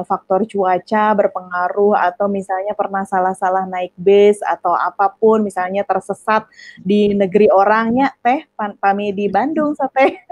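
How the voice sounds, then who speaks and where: A woman hosting a religious talk show in a polite, halting way. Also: medium pitch, darkish tone, no room sound.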